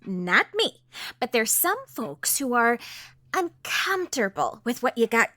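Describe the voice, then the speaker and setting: A woman's voice: on the high side, very animated, measured pace, bright, forceful tone, dry recording, exaggerated voice. An actress voicing a nosy, folksy small-town woman neighbor with a North Dakota accent, sugary and gossipy, full of old-fashioned sayings. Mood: prying, huffy. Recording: dry close studio sound.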